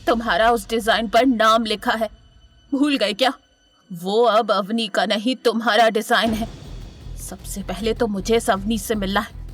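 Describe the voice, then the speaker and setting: A woman acts a hot-tempered elderly matriarch in an audio drama. Her voice sits a little high, with a commanding, scheming manner. Soft music plays behind her. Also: steady tone, unhurried, lightly acted. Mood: furious scolding. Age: older. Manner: scolding, commanding. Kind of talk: Hindi audio drama acting.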